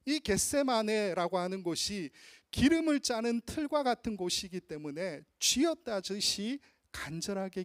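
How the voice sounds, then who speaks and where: A male pastor delivers a teaching sermon with a fairly high, animated voice that rises and falls a lot. He explains patiently and stretches out unfamiliar words. The sound is close and dry, with little room echo. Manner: teacherly, draws out Greek and Aramaic word forms slowly. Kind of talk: expository sermon. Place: church sanctuary.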